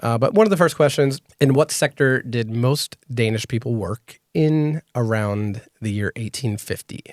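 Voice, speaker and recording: A man hosting a podcast, explaining clearly in a measured, scripted way and interviewing a guest. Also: mid-range voice, a little mellow, no room sound, clean studio sound. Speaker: podcast host.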